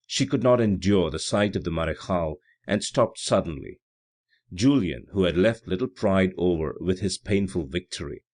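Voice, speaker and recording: A man reading literary fiction aloud as scripted audiobook narration. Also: sits low. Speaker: audiobook reader.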